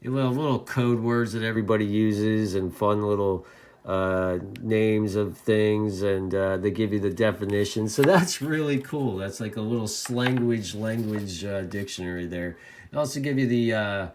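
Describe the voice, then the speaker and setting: A man's voice: on the low side, normal speed, darkish tone, a little hiss or hum. A man giving a casual hobbyist product review to camera, rambling along and often repeating his phrases.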